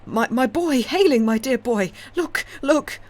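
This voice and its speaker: A woman's voice: sits high, lively intonation, measured pace, a little mellow, pitched above the performer's own voice. A woman voicing a desperate old father in a storybook, pleading frantically with stammered, gasping cries. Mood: wild, desperate. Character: frantic old father begging desperately for his drowning son.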